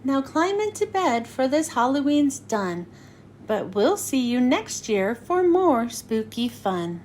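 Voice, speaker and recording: A high-pitched woman narrates a children's picture book. Her delivery is slow and sing-song, swinging widely up and down as she follows the rhymes. There is some noise behind her. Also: big pitch swings, darkish tone. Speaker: children's read-aloud host. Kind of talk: scripted storybook narration for children.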